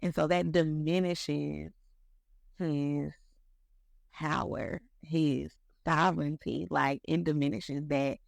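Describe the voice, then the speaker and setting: A speaker in their thirties talking faith in a loose, rambling way on a podcast. The voice has a heavy gravelly fry throughout. It is recorded close and completely dry. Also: medium pitch, little pitch movement, slow pace, dry recording. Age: 30s. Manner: rambling, heavy use of "like" and "you know", repeats words. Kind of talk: casual faith talk.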